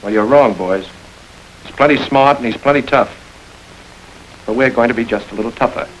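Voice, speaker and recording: An actor playing a suave, wry gentleman crook who runs his gang like a business, in a 1930s crime film. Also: mid-range voice, moderate pace, a little hiss or hum, natural voice, rough recording. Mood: urbane. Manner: urbane, wry and smoothly commanding.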